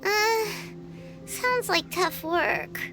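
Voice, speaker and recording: A tiny, very high-pitched game sidekick, cheerful and curious. She speaks slowly, with a bouncy, questioning lilt. Loud music plays behind her. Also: exaggerated voice. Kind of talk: video game voice acting.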